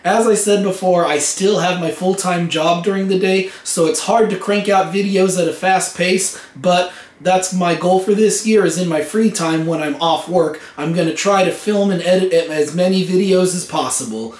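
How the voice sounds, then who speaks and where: Hoarse man with a cold, echoey room. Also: sits high, normal speed, hardly any pauses. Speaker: vlog host. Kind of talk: casual vlog talk to camera. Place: rented room at home.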